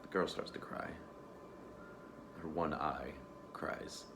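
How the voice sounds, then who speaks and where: A man's voice: very deep voice, steady tone, slow pace, noisy background, full character voice. An actor playing the profane, guilt-ridden ghost of a male tiger in a stage monologue, telling his story with sardonic humor. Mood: wry. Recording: echoey room.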